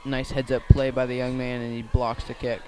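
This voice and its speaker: A man with an American accent calls a football game live for radio. His pitch stays fairly flat as he follows the action. He is a little halting, repeating and correcting himself as he goes. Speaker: play-by-play commentator. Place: outdoors at a football field.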